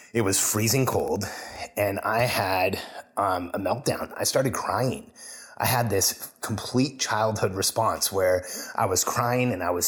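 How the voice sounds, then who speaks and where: A man hosting a solo podcast, telling a personal story in an earnest, confessional way with frequent restarts. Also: lowish voice. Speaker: podcast host.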